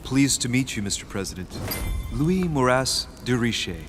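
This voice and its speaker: A man's voice: mid-range voice, lively intonation, moderate pace, music in the background, natural voice. A man voicing a polite young nobleman in a video game, formal and deferential. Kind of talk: game voice acting.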